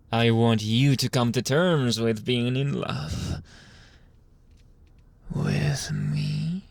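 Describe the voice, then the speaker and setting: Man acting out a husky, whispering, seductive mafia boss. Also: medium pitch, darkish tone, no echo, exaggerated voice. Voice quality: breathy, husky, often dropping into whisper.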